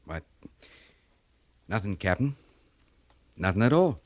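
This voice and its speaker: A man's voice: on the low side, big pitch swings, slow, over loud music. A man playing a dutiful, easygoing army sergeant in a 1950s radio western, plainspoken and deferential. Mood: sheepish. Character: dutiful, easygoing male army sergeant, frontier scout liaison. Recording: noisy vintage 1950s radio recording.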